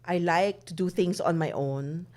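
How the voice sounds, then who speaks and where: A woman from Manila with a Filipino accent, a hotel manager being interviewed, telling stories in an animated way and often ending her points with "no?". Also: fairly low pitch, measured pace. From Manila, Philippines. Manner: animated storytelling, frequent tag "no?". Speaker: hotel general manager, guest. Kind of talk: conversational interview.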